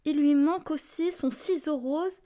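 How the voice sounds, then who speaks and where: Young woman as a fussy high-pitched mother. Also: measured pace, warm tone. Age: teenager or young adult student. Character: fussy, formal, haughty mother writing to teacher. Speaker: amateur student actor. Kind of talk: theatrical reading of parents' letters.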